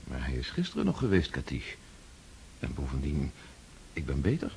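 A man voicing Pierre, a nobleman recovering from illness, speaking quietly and wearily in a radio play. Faint hiss. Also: extremely low pitch, wildly swooping pitch, moderate pace, gravelly, voice pushed down, full character voice. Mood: weary.

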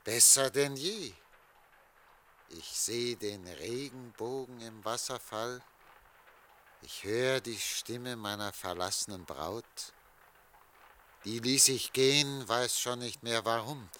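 An actor playing a weary, wistful middle-aged official lost in memories, dreamy and theatrical, in a German radio drama. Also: male voice, mid-range voice, slow, long pauses, sharp tone, a little hiss or hum. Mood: entranced.

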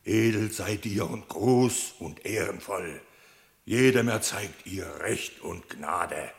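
A gravelly, aggrieved wolf. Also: male voice, on the low side. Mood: wounded pleading. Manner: slow, pleading, solemn. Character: aggrieved male wolf, bitter accuser at court. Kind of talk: literary radio drama, verse declamation.

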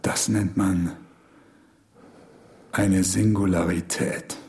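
An actor in a German radio drama playing a grave older researcher who explains things in a halting, didactic manner. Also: male voice, low-pitched, level tone, a little mellow, full character voice. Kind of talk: German radio drama acting, measured dialogue.

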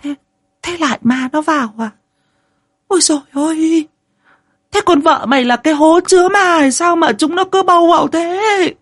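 Blunt old village mother, performed by a man, very high squawking pitch, agitated and scolding, for a comic horror audiobook. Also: normal speed, a bit bright. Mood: alarmed scolding. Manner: exclaiming, scolding.

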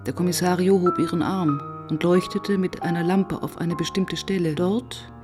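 An actress playing a police inspector who narrates her case in a sober, measured way for a radio crime drama. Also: lowish voice, fairly even intonation, over music, lightly acted. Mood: sober, tense.

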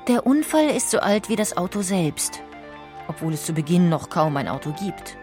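A woman with a fairly low voice delivers scripted documentary narration. She sounds measured and factual, and music accompanies the recording. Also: very animated, darkish tone.

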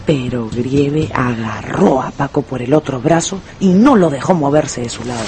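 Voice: mid-range voice, moderate pace, a crowd in the background. A narrator delivering a scripted, dramatic story for a radio play.

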